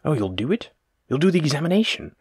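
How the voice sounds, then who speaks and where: A man's voice: on the high side, big pitch swings, quick pace, darkish tone, slightly rough, no room sound, clean studio sound, exaggerated voice. A man playing a courteous, evasive, self-important plague doctor in an audio roleplay, speaking formally and fussily in old-fashioned wording. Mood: eager. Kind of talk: hobby audio roleplay acting, addressed to the listener.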